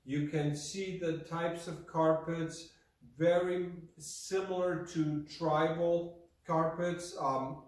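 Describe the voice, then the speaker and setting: A man from Germany presents a product off the cuff, in a halting, deliberate way that often circles back on itself. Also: a little high, darkish tone. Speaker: video host and Persian rug specialist. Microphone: camera mic from far away. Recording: very echoey room, distant microphone.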